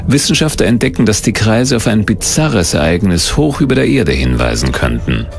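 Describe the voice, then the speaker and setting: A man's voice: on the low side, sing-song, moderate pace, gravelly. A man narrating a documentary from a script in a dramatic, suspenseful manner.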